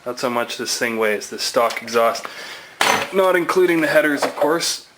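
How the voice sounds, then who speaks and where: A man's voice: mid-range voice, expressive, moderate pace, very noisy. A man giving a casual, plain-spoken hands-on how-to commentary in informal, slangy language, as a hobbyist showing his own work. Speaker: motorcycle owner demonstrating a DIY exhaust swap.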